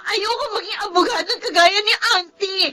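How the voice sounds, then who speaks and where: A woman's voice: extremely high pitch, moderate pace, bright, forceful tone, slight background noise. A woman playing a defiant, hot-tempered young adult daughter in a Filipino family drama, with a Filipino accent and an exasperated, pleading manner. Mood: tearful, defiant.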